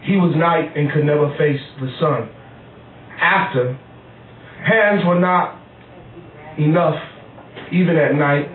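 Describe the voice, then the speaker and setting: Male poet, live reading, deliberate phrasing, slightly high voice, recorded far off in an echoey room. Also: phone-quality sound, a little hiss or hum. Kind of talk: live spoken-word poetry reading. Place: small cafe poetry night, indoors.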